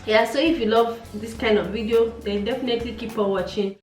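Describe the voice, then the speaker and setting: A woman's voice: fairly high pitch, fairly even intonation, brisk, a little mellow, distant mic, faint music behind. Nigerian woman vlogging in an echoey room. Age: late 20s. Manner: chatty, repeats herself, muses aloud with hums. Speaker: beauty vlogger. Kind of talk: casual get-to-know-me vlog.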